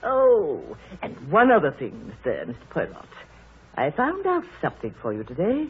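Opinionated village busybody, very raspy, wildly animated, bossy, fussy, tinny 1930s radio play. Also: female voice, deep voice, normal speed, heavily acted. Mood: eager. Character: nosy, know-it-all village woman, opinionated amateur sleuth. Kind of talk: 1930s radio drama acting. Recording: muffled, scratchy 1930s radio broadcast.

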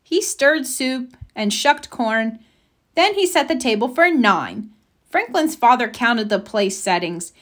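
Lively, expressive woman reading a storybook. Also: on the high side, takes it slowly. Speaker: storybook reader. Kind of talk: children's read-aloud narration.

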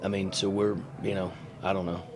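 A middle-aged man, a basketball coach, answering questions at a postgame press conference in a halting, matter-of-fact way, with many fillers and restarts, into a table microphone. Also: deep voice, little pitch movement, a crowd in the background. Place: press room in front of a sponsor backdrop. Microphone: table mic on a stand.

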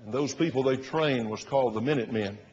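Male pastor, 60s, husky, emphatic pulpit oratory, muffled recording in a reverberant sanctuary. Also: quick pace. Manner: emphatic, oratorical, rising to loud bursts and dropping low. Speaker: pastor preaching. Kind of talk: fiery sermon. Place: large church sanctuary, at the pulpit platform.

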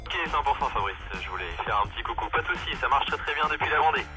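Quick-talking radio man under loud music. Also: medium pitch, lively intonation. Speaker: amateur radio operator. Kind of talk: brisk radio signal reports.

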